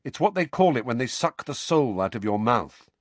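A man's voice: medium pitch, sing-song, normal speed, no room sound. A man voicing an exasperated, defiant teenage boy, terse and impatient, in an audiobook story.

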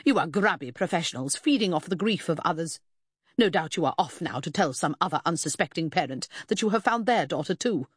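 Casting: haughty, grieving middle-aged mother, played by a female narrator, indignant and commanding, husky voice that climbs high, close dry sound. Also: expressive, brisk, dry recording. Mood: furious, scornful. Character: grief-stricken, imperious, furious middle-aged mother. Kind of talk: audiobook character acting.

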